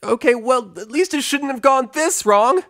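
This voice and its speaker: Guy doing an anxious young pony princess, earnest, pitched up, storybook reading. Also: expressive, normal speed, sharp tone, dry recording, clean studio sound, exaggerated voice. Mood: frantic.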